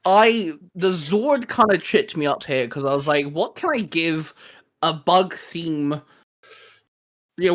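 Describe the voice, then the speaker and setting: A man co-hosting a fan channel, chatting casually and geekily as he pitches creative ideas. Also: a bit bright.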